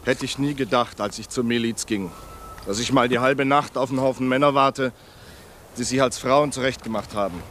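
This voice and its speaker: An actor playing a blunt rank-and-file dragoon of the militia in a radio drama. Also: male voice, medium pitch, moderate pace, fairly bright tone, slight background noise, close to an everyday voice. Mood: grumbling.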